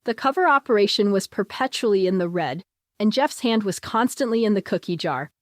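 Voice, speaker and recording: Lady reading a story aloud, scripted narration, mid pitch, really dry sound. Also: expressive, brisk, dry recording.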